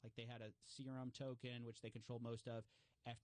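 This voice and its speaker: A man's voice: mid-range voice, level tone, fairly quick, darkish tone, no echo. Man, mid-range voice, casual explainer, conversational storytelling, interview guest, dry studio sound. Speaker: podcast guest, investigative journalist.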